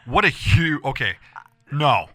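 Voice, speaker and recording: An American man hosting a casual radio show with a hoarse voice, talking in a rambling, emphatic way and repeating himself as he addresses listeners. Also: medium pitch, very animated, slow, bright, forceful tone, no echo. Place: radio studio.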